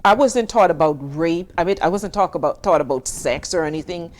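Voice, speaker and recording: A woman's voice: lowish voice, fairly quick, darkish tone. A woman from the Bahamas, telling a personal story as an interview guest.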